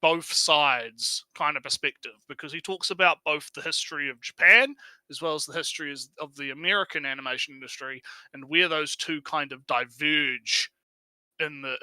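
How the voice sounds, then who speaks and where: Guy co-hosting a stream, really bright forceful voice, kinda high, rambles and repeats himself. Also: steady tone, normal speed, dry recording, rough recording. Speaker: livestream co-host. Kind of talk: casual livestream banter.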